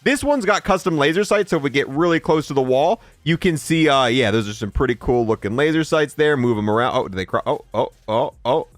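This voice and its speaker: A man's voice: mid-range voice, lively intonation, fairly quick, few pauses, no room sound. A man giving casual, informal video game review commentary, thinking aloud as he goes. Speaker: gaming video host and reviewer.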